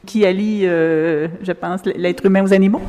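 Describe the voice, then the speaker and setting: A woman's voice: lowish voice, steady tone, normal speed, dark tone, dull audio. A woman hosting an on-site radio interview in an art gallery, effusive and enthusiastic as she questions her guests. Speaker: radio magazine host. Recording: long reverb of a gallery room.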